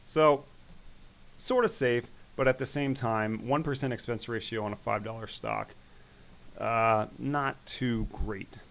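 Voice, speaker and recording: A man hosting an investing channel, talking casually through his stock portfolio in a rambling way, his voice growing raspy. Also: medium pitch, a little slow. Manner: relaxed, rambling, with fillers. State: voice getting raspy. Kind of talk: casual screen-share stock commentary.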